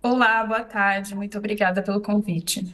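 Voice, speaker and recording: A Brazilian woman speaking as an expert guest in a live news interview, explaining in a measured way with frequent tag questions. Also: mid-range voice, fairly even intonation, fairly bright tone, rough recording.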